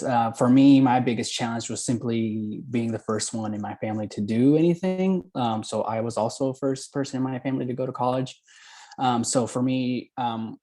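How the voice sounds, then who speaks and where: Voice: medium pitch, warm tone. A young adult panelist with a medium, androgynous-sounding voice. The delivery is personal and conversational, as a graduate recounting their own experience.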